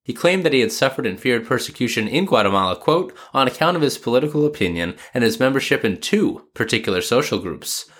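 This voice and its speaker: A man with a cold and a slightly nasal voice, hosting a scripted immigration law podcast and reading the sponsor ads himself, brisk with informal asides. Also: mid-range voice, a bit bright. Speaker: podcast host and immigration lawyer. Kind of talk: scripted legal podcast narration with ad reads.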